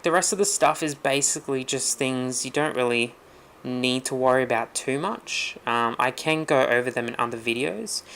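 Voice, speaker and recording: Man, casual tech tutorial host, gravelly fry, easygoing and loose with plenty of ums. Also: medium pitch, slight background noise. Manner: relaxed, rambling, many ums. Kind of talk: casual screen-recorded walkthrough.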